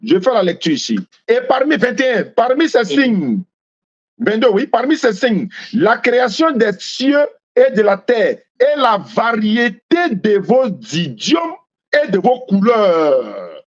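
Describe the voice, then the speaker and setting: Insistent high-voiced man in heated debate. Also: highs cut off. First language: Malinke. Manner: insistent, repeats points, interrupts.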